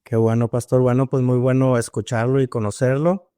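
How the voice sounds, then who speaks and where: A man's voice: medium pitch, level tone, a little fast, warm tone, clean tone, dry recording, clean studio sound. A man hosting a podcast interview, asking brief, friendly questions.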